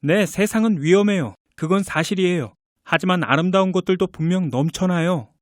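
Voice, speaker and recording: A man's voice: sits high, fairly quick, close to an everyday voice. A man voicing a confident, kind young prince in a children's fairy tale, earnest and assured. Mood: indignant, earnest.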